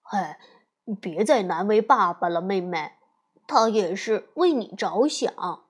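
A woman voicing a kind older brother bear cub who speaks gently and coaxingly to his sister. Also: mid-range voice, animated, slow pace, breathy edge, voice pushed down.

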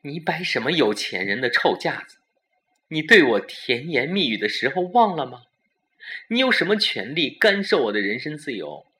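Indignant young wife, performed by a man, suspense radio play: raised pitch, shrill, loud and accusing. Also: big pitch swings, normal speed. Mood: furious, accusing. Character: indignant young wife berating her rich husband. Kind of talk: suspense audio drama acting.

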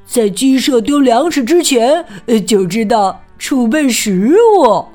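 A wary little chick from a storybook, talking slowly and anxiously, voiced by a woman. The high voice wavers up and down. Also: expressive, mellow, faint music behind. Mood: admiring. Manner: careful, anxious. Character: cautious worried chick.

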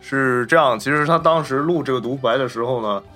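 A man's voice: mid-range voice, a little fast, bright, forceful tone, faint music behind. A man co-hosting a casual discussion of film and history, explaining things and chiming in with agreement. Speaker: podcast host.

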